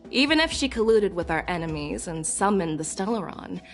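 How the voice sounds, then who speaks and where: A woman's voice: mid-range voice, expressive, fairly quick, faint music behind, lightly acted. A woman voice actor playing a probing, confident female general who questions people formally in a video game. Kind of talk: scripted video game voice acting.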